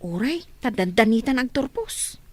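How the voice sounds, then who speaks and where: A woman's voice: a little high, very animated, moderate pace, no echo. A woman playing a reluctant, complaining young student who hates farm work, in an Ilocano radio drama.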